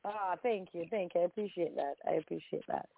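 A woman's voice: on the low side, fairly quick, gravelly. A woman singer from Jamaica, a guest on a radio phone-in, who tells her story in a bubbly way with frequent laughs. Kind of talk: casual radio interview by phone. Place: home in Toronto. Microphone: phone call. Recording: tinny phone line.

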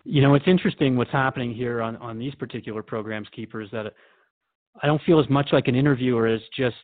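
Man as quick-talking, earnest radio interviewer. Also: medium pitch, narrow, tinny audio, lightly acted. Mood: reflective. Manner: conversational, hesitant, reflective. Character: earnest, questioning male radio interviewer, easily troubled. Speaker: radio host.

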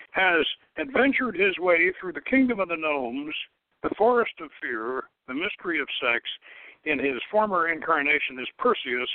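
A man hosting a talk radio show in a measured, sometimes halting way, introducing and reading from his notes. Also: medium pitch, no echo. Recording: tinny phone-like line, dry.

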